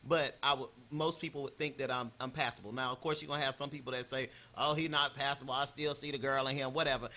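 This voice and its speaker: A man's voice: medium pitch, fairly even intonation, fast, hardly any pauses, pushed and bright. A man on a discussion panel who speaks earnestly and often restarts with "you know". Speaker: panel guest.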